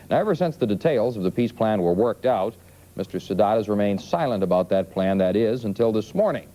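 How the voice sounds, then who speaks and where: A man's voice: fairly low pitch, warm tone. An American man anchoring a newscast, reading scripted news. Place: television studio.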